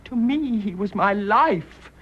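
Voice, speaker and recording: A fiercely righteous British nurse, clipped and intense, full of bitter indignation. The radio drama recording sounds a little dull and muffled. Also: female voice, mid-range voice, expressive, slow pace, a bit bright, slightly rough, full character voice. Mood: furious accusation.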